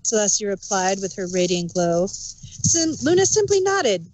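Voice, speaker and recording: A woman narrator reading a storybook tale in rhyming verse with an expressive read-aloud cadence. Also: medium pitch, unhurried, no echo, rough recording.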